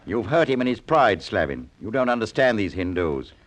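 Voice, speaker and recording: A man's voice: on the low side, big pitch swings, moderate pace. Firm, earnest boss man. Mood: stern, worried. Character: concerned, fair-minded male boss, peacemaker. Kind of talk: old-time radio drama acting.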